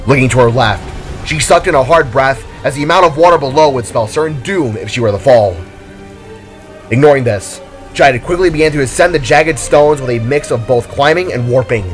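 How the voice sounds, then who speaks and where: A man reading scripted adventure fiction as the narrator, in a dramatic storytelling style. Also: mid-range voice. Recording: background music under the voice. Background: music.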